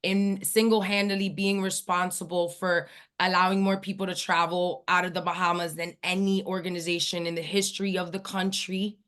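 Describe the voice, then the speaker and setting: A woman's voice: lowish voice, little pitch movement, normal speed, hardly any pauses, a bit bright. Emphatic woman hosting a training call. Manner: enthusiastic, emphatic, hype-building. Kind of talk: hyping an online training call.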